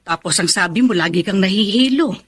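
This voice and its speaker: A woman's voice: mid-range voice, animated, a little fast, breathy edge, dry recording, studio quality. An actress playing a caring, inquisitive middle-aged mother in a radio drama, conversational and motherly. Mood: concerned. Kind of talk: Tagalog radio drama acting.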